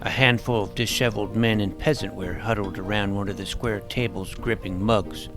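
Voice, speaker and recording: A man's voice: fairly low pitch, fairly even intonation, moderate pace, natural voice. Man as a boy adventurer narrating over prominent music. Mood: amazed, recounting. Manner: wordy, vivid, earnest. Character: earnest, awkward boy adventurer telling his tale. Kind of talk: first-person scripted storytelling in fantasy audio drama.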